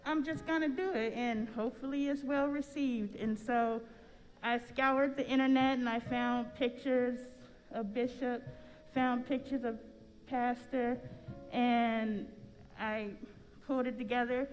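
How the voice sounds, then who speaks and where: A woman's voice: a little high, fairly even intonation, a little slow. A middle-aged American woman speaking casually and anecdotally to a congregation, as an artist telling her story. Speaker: artist and church member. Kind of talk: informal testimony to a congregation. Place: large church sanctuary. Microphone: stand microphone. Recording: long hall reverb, faint music.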